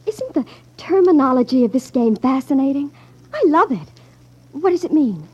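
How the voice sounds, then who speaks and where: A woman's voice: high-pitched, expressive, normal speed, mellow. An actress playing a naive, girlish sister, gushing and wide-eyed, in 1950s radio drama style. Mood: admiring, excited. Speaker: radio actor. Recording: dull 1950s radio recording.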